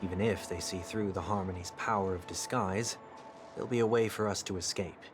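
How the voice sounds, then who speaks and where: An actor voicing a calm, polite fugitive in disguise, speaking in a measured way in a video game. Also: male voice, low-pitched, natural voice. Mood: calm, composed. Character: calm, courteous, softly authoritative disguised male fugitive. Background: game music.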